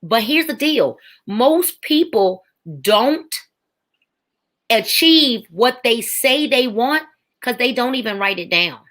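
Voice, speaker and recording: A woman's voice: on the high side, lively intonation, takes it slowly, fairly bright tone. A woman in her forties giving a faith testimony as a podcast guest, emphatic, with a preaching rhythm and repeated phrases. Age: 40s.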